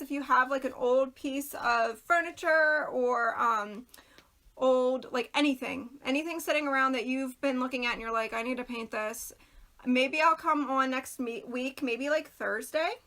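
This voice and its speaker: A woman talks her viewers through a craft project in an easy, rambling way, greeting them by name as she works. Her voice sits high and bright, with a slight worn, throaty edge. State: losing her voice, clearing throat. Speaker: craft video host. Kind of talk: casual livestream craft chat.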